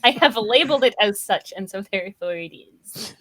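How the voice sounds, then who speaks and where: A woman's voice: medium pitch, lively intonation, normal speed, fairly bright tone, low-quality recording. A woman co-hosting a podcast, chatting casually in a playful, teasing manner. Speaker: podcast co-host. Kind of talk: casual chat.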